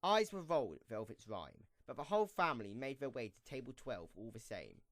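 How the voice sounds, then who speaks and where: A man's voice: medium pitch, big pitch swings, fairly quick, fairly bright tone, dry recording, studio quality. A man reading scripted story narration for a fan audio drama.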